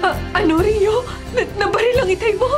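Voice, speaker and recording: A distraught, lamenting Filipino mother in a radio drama. Her high voice pleads haltingly and tearfully. Loud music sits under the speech. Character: distraught, pleading wife and mother of grown child.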